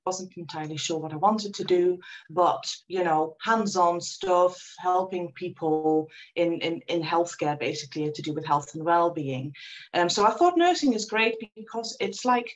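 A woman's voice: fairly low pitch, normal speed, lo-fi sound. A Dutch woman in her forties, a guest in an interview, telling her story reflectively with many ums and restarts. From Netherlands. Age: 40s.

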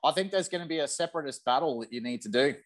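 A man's voice: a little high, lively intonation, racing. Man chatting on a gaming podcast. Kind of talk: casual gaming podcast chat.

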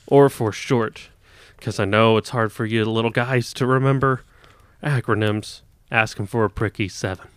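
A man's voice: on the low side, fairly even intonation, moderate pace, clear, resonant tone, no echo, lightly acted. A man voicing a condescending male staff sergeant who talks down to a new private. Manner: condescending, talking down.

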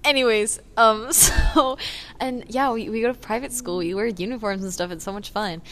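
A woman's voice: a little high, big pitch swings, normal speed, fairly bright tone, faint music behind. A teenage girl from the Houston area co-hosting a casual podcast, teasing her friend and laughing often as she rambles. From Houston area, Texas. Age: teens. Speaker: podcast co-host.

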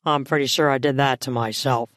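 A woman voicing a dominant, teasing man in an audiobook romance, murmuring in a strained, drawn-out way. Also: extremely low pitch, fairly even intonation, no echo, clean studio sound, voice pushed down. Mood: controlled, teasing. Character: dominant, teasing male lover, controlled and aroused.

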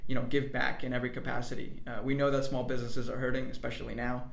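A man's voice: medium pitch, little pitch movement, quick pace, gravelly. Talkative man with a Jersey accent. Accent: New Jersey. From Mexico. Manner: talkative, upbeat, frequent uh and um fillers. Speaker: guest, entrepreneur. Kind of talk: casual podcast interview. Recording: roomy, echoey room sound.

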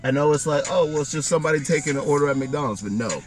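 A man co-hosting a casual podcast from a car, rambling and joking at himself, with his voice going hoarse. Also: fairly high pitch, very fast. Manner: rambling, self-mocking, laughs often. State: losing his voice. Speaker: co-host.

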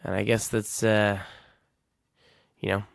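Twenty-something guy podcasting alone, rambly and laid back, a bit of vocal fry. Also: fairly low pitch, level tone, slow pace, dry recording, clean studio sound. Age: 20s. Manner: rambling, casual, self-correcting. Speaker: podcast host. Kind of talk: reflective solo podcast monologue.

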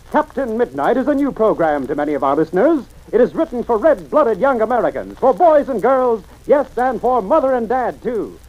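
A man making an emphatic, rousing 1940s radio announcement for a sponsored programme. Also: extremely high pitch. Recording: dull, crackly vintage radio recording.

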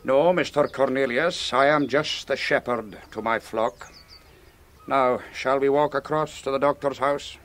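An actor playing a pious, formally courteous Scottish church minister in a radio drama. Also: male voice, mid-range voice, unhurried, a bit bright, close to an everyday voice. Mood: grave, pious. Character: pious, patronising Scottish island minister, male.

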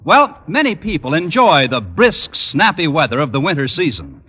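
A polished man reads scripted introductions as a mid-century radio announcer. His voice is fairly high and swings with lively, salesmanlike emphasis. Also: normal speed, slightly rough. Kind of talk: 1940s-50s radio announcing, scripted narration.

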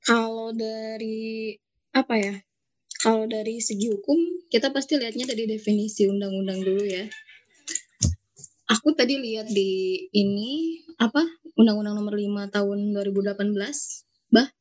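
A woman's voice: on the high side, normal speed, long pauses, darkish tone. A woman, chatting casually and informally as a podcast guest. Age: 20s. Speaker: podcast guest, law student. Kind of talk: casual conversation.

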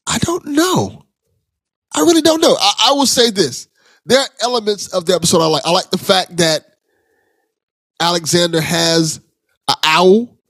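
A man hosting a casual interview podcast, speaking appreciatively in a halting way and repeating words as he starts. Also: high voice, expressive, a bit bright, dry recording. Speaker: podcast host.

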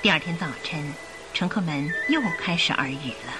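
A woman narrating a radio drama in an expressive, suspenseful storytelling style. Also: deep voice, normal speed. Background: music.

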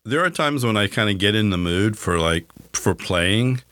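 A man co-hosting a podcast, casually reviewing old songs with a relaxed, halting way of talking. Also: fairly low pitch. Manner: relaxed, halting, many restarts and fillers. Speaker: podcast co-host.

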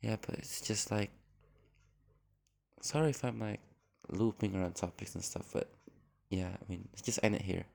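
A man talks in a low, gravelly voice. He rambles and hesitates, often apologizing and filling gaps with ums and likes. He speaks into a phone at home. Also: moderate pace, long pauses, darkish tone. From Indonesia. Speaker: podcast host. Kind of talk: casual unscripted introduction.

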